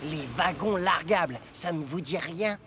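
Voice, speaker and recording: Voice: medium pitch, a little slow, background noise, natural voice. An actor voicing a vain, boastful male steam engine with a cocky, showy manner in a children's cartoon dub. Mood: smug. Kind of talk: animated children's series dub.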